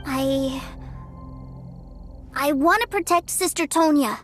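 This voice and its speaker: An eager, excitable little boy. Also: high voice, slow, faint music behind. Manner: excited, pleading. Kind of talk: video game voice acting.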